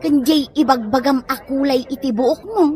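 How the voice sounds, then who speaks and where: An actress with a Filipino accent playing a brisk, generous elder sister home from abroad in a comedy audio drama, chirpy and assertive. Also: female voice, high-pitched, darkish tone, close to an everyday voice. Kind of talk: Ilocano comedy radio drama acting.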